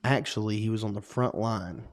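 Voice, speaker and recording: A man's voice: fairly low pitch, moderate pace. Earnest young man with a Western Carolina twang. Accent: Western North Carolina. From North Carolina. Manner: earnest, rambling, animated. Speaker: co-host. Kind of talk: casual podcast chat.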